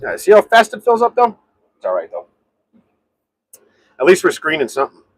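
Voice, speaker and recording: A man hosting a casual live stream from his garage, rambling and answering viewers' comments in a very loud voice. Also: a little high, huge pitch swings, moderate pace, no echo. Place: home garage.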